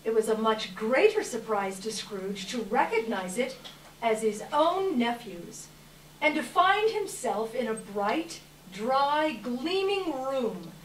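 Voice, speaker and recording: Woman narrator, readers theater, slow deliberate storytelling, emphatic phrasing, distant roomy recording with faint noise. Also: fairly high pitch. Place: live reading in a roomy hall.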